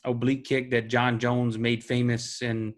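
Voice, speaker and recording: A man's voice: medium pitch, flat delivery, a little slow. A man co-hosting a casual sports chat, laid-back and chatty. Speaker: podcast co-host.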